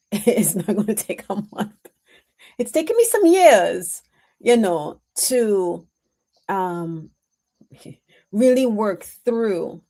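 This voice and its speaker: A woman giving an intuitive spiritual reading on a live stream, answering her chat in a reflective, halting way with many pauses and "um"s. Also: medium pitch, a little mellow. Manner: slow, reflective, halting with frequent "um"s.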